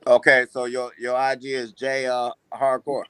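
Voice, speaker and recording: A man's voice: mid-range voice, monotone, normal speed, fairly bright tone, smooth, dry recording. A man of sixty chatting casually as a guest on a boxing panel. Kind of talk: casual chat.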